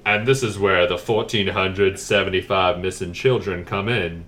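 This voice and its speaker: A man playing a solemn expert in a forced low voice for a scripted comedy explainer. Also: fairly even intonation, moderate pace, a bit bright.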